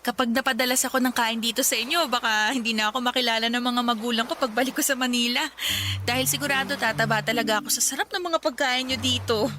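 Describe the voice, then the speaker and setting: An actress as a high-pitched, giggly young woman, noisy recording. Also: steady tone, fast talker, pushed and bright. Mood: gushing, grateful. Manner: gushing, giggly. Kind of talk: radio-style love story drama acting.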